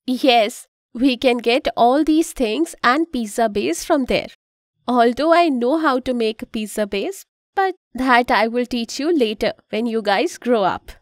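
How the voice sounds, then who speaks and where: A woman's voice: on the high side, lively intonation, a little slow, dry recording, studio quality. A woman voicing a kind, instructive mother in a children's cartoon, with an Indian accent and a patient, explanatory manner. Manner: patient, explanatory, gently cautioning.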